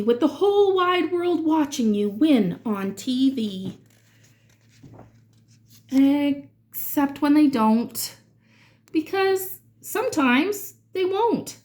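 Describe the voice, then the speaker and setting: A woman reading rhyming verse aloud for children in an expressive, dramatic way, drawing out certain words. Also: high voice. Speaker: children's read-aloud reader.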